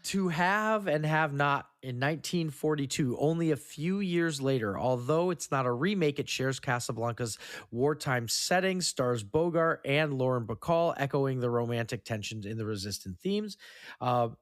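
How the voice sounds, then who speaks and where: A man hosting a casual film podcast, running through trivia in a halting way and stumbling over words now and then. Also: mid-range voice, expressive, dry recording, studio quality. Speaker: podcast host. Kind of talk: casual film trivia rundown among co-hosts.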